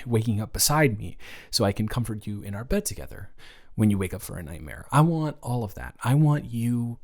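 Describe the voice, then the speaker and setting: A man's voice: on the low side, creaky. A man playing a nervous, smitten roommate in an improvised romantic roleplay audio, rambling and stammering in intimate one-sided talk. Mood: earnest, nervous. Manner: stammering, rambling, giddy, halting. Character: nervous, smitten male roommate confessing love.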